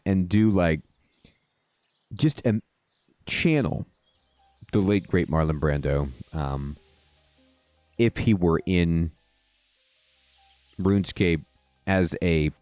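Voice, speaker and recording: A man hosting a casual let's-play, telling stories in a rambling, drawn-out way with wry asides. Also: low-pitched, sing-song, a little mellow, no echo, faint music behind. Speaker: gaming host.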